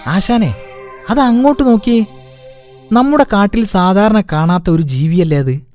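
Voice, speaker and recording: A man voicing a sly, fawning animal sidekick in a cartoon, with a wheedling, flattering manner. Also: high-pitched, a little slow, soft background music. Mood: alarmed. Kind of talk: cartoon voice acting.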